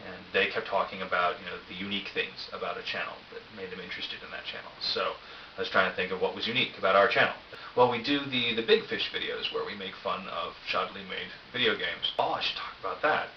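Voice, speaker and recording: A man's voice: low-pitched, fast talker, far from the mic. A middle-aged man giving a casual, rambling vlog recap in a hesitant, wry, self-deprecating way, recorded on a cheap camera. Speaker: vlogger. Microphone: cheap camera, some distance away. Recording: roomy echo, dull cheap camera mic, hiss.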